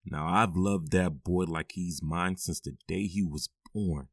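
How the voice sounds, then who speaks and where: Man playing a middle-aged stepfather, very low voice, firm, weary, sighing, dry close sound. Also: a little slow, no echo. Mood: confiding. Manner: firm, weary, sighs often. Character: stern but loving middle-aged stepfather. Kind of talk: audio drama acting.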